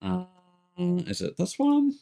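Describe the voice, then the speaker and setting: A man chatting casually and haltingly as he commentates on his own video game stream, thinking aloud. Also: high voice, lively intonation, creaky, warm tone. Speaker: live streamer. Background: video game music.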